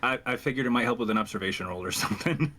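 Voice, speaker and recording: A man's voice: medium pitch, very fast, pushed and bright. A man chatting casually as a player in a tabletop roleplaying game.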